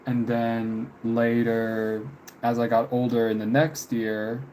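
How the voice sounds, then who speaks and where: A man in his mid-twenties chatting casually on a live stream, halting and reflective, often trailing off. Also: mid-range voice, flat delivery, darkish tone, slight background noise, poor audio. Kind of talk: casual live stream chat answering viewers. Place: room with window blinds, indoors.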